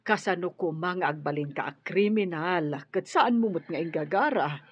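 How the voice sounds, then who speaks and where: A woman playing a kindly, concerned helper in a radio drama, with a husky voice. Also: lowish voice, moderate pace, slight background noise, natural voice. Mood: reassuring, concerned. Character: concerned, kindly woman caring for an injured girl. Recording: dull, muffled studio sound.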